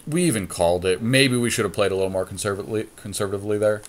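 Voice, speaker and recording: A man's voice: on the low side, rapid-fire. A man co-hosting a card game podcast, thinking aloud in a casual, analytical way as he talks through his options step by step.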